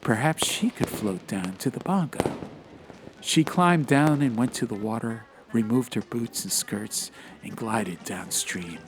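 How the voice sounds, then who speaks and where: A man's voice: medium pitch, expressive, unhurried. A man narrating a fantasy audiobook in a dramatic, literary style. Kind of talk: scripted fantasy story narration. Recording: music behind the voice.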